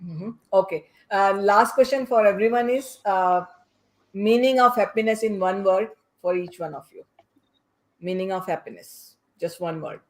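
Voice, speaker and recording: A woman hosting a podcast panel in a conversational way, speaking haltingly and repeating phrases. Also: medium pitch. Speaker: podcast host moderating a panel.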